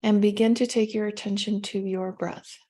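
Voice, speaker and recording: A woman with a soft-edged, mellow voice guides a meditation. Her pitch sits in an ordinary middle range for a woman. She gives gentle instructions without hurry, leaving space between phrases. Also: flat delivery. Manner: gentle, unhurried instruction with pauses.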